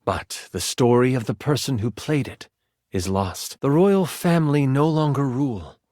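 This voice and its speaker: A man playing an excitable game master in a video game, narrating a fantasy adventure with theatrical, suspenseful expression. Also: mid-range voice, moderate pace, no room sound, clean studio sound, natural voice. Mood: somber, hushed. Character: personified twenty-sided die, an excitable male game master. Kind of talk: dramatic tabletop fantasy narration.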